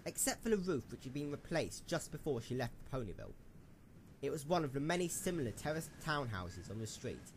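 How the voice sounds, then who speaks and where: Animated male story narrator. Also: mid-range voice, slight background noise. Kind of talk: dramatic scripted story narration.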